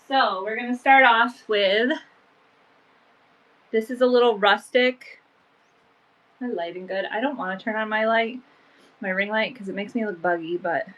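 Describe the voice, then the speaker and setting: A woman's voice: on the high side, fairly bright tone, lo-fi sound. A woman with a sniffly, allergy-stuffed voice hosting a casual live sale from home, chatting along with lots of "um" and "like.". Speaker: online vintage seller.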